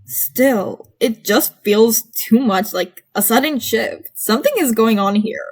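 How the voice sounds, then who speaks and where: A cool, perceptive teenage girl voiced by a woman narrator ponders with measured suspicion. The voice is fairly high, in an audiobook style over faint music. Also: breathy edge. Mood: suspicious.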